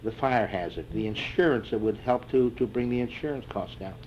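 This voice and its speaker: An older man in an oral history interview, answering reflectively and haltingly with many fillers and restarts. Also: medium pitch. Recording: dull lo-fi tape with faint hiss.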